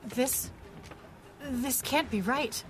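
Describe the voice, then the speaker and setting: Shrewd, high-voiced female sleuth. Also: very slow, over loud music. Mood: urgent, wary. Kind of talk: audio drama acting.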